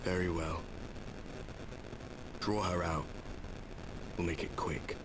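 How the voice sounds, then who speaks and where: An actor playing an embittered, sardonic warrior in a fantasy video game, speaking bluntly and dramatically. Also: male voice, deep voice, steady tone, takes it slowly, background noise. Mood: grim, resolved. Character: embittered, sardonic male warrior from a doomed world.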